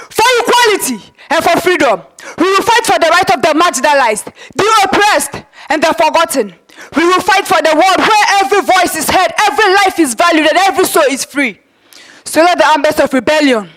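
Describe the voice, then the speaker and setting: Teenage girl acting high-pitched fiery rebel leader. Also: fairly bright tone, poor audio. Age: teenage student. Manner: declamatory, rousing. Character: fiery rebel leader rallying fellow fighters. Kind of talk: theatrical monologue.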